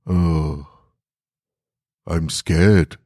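Guy doing a huge sad old colossus, soft deep rumbly whisper, real slow, storybook thing. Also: steady tone, dry recording, studio quality, exaggerated voice. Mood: fearful. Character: colossal ancient living statue, burdened, fearful, resigned.

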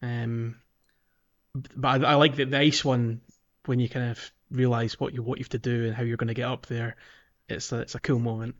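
A man's voice: mid-range voice, little pitch movement, a little fast, highs cut off. A man chatting casually about a video game as a podcast host, hesitant and thinking aloud, with an easy laugh.

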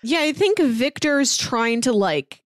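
Lively, high-pitched woman in casual chat. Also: slow pace, no room sound, clean studio sound. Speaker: comics podcast co-host. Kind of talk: casual chat, commenting and paraphrasing dialogue.

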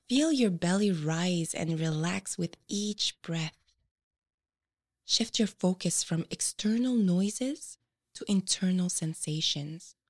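Slow, low-pitched woman guiding meditation. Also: darkish tone. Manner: measured, instructional, with frequent pauses. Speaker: meditation guide. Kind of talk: guided meditation narration.

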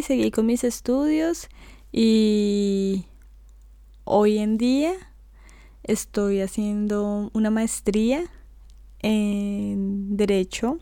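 Young Colombian woman, co-host, unhurried casual chat, laughs easily, dry close sound. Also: mid-range voice, no room sound. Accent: Colombian Spanish. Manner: relaxed, laughs often, many fillers. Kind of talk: casual conversation.

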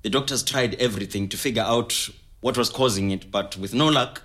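A man's voice: mid-range voice, fairly quick, a bit bright, natural voice. An actor playing an earnest, reflective middle-aged Zimbabwean man recounting his childhood in an interview-style audio drama, with a Zimbabwean accent.

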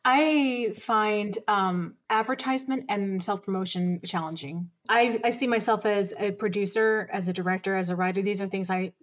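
A woman's voice: medium pitch, normal speed, hardly any pauses. Woman producer talking it through, kinda hesitant and modest, muffled lo-fi audio. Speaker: audio drama producer, director and writer. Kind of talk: casual interview chat.

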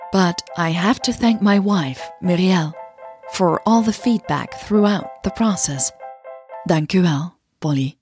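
A woman's voice: on the low side, a little mellow. A woman reading scripted romantic fiction and podcast announcements as narrator and host. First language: Dutch.

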